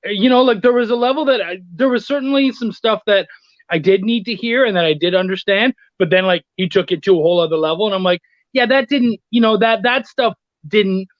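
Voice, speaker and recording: A man with a high voice talks casually as a guest, telling stories and replaying conversations. The sound is dry and a little muffled, like an online call. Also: brisk, dry recording. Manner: anecdotal, acting out quoted exchanges. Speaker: guest, freelance comics writer and editor. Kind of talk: casual interview chat.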